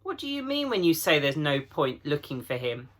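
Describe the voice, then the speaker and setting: A man's voice: a little high, very animated, moderate pace, a bit bright. A man voicing an earnest, upset older girl in a storybook reading, pleading and insistent.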